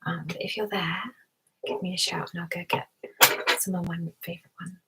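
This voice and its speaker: A woman hosting an ASMR live stream, chatting to listeners in a hushed, soft voice that often drops into a whisper. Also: fairly low pitch, level tone, brisk, very noisy. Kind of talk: ASMR, soft whispering and soft talk with mouth sounds.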